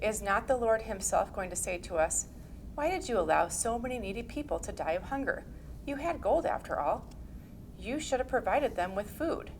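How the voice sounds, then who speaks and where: A woman giving a measured, formal scripted reading of a historical religious text. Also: mid-range voice, animated, a little hiss or hum, low-quality recording. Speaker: reader.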